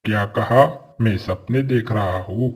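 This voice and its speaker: A man playing a power-hungry evil sorcerer in an animated fairy tale, menacing and boastful. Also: lowish voice, breathy edge. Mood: haughty, challenging. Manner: menacing, boastful, drawn-out delivery.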